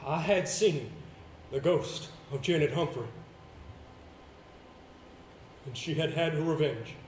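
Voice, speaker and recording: Man acting as earnest stage-play actor, measured theatrical delivery, dramatic pauses, roomy sound, faint noise. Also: fairly high pitch, close to an everyday voice. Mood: grave, haunted.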